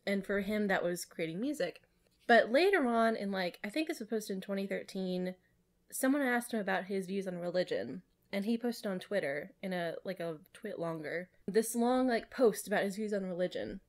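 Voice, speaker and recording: A woman's voice: medium pitch, expressive, moderate pace. Female podcast co-host, mid-range voice, casual conversational delivery with some read-aloud narration.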